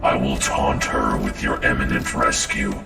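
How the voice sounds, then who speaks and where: A man's voice: very deep voice, normal speed, creaky, full character voice. An actor playing a sadistic, taunting killer in a video game, drawing out his words with menace and gloating. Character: sadistic, taunting male pyrokinetic serial killer villain. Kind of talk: dramatic game villain acting. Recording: echoing, distant, under loud music.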